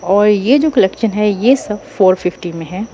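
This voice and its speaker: Woman, Pakistani Urdu accent, casual shopping vlog, warm tone, dull audio, faint bazaar hum. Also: mid-range voice, animated, moderate pace. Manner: chatty, informal shop tips. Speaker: shopping vlogger. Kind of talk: casual market walkthrough vlog. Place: busy indoor bazaar.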